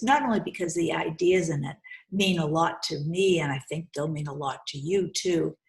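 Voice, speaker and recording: A woman hosting a children's storytime, speaking deliberately and instructively as she reads and explains, often repeating phrases for young listeners. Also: on the low side, vocal fry. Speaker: host reading children's books aloud. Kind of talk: storytime read-aloud with commentary to children. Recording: roomy, echoey sound.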